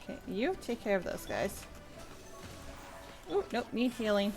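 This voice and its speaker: A woman's voice: medium pitch, very animated, measured pace. A woman giving casual live commentary on a video game, thinking aloud as she plays. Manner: thinks aloud while playing. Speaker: game streamer. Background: game audio with faint music.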